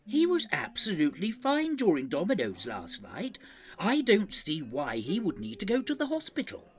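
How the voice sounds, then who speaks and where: An actor playing an anxious, sentimental old care home resident in an audio drama, with a husky, halting delivery. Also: male voice, high-pitched, huge pitch swings. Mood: worried. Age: older. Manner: hesitant, halting.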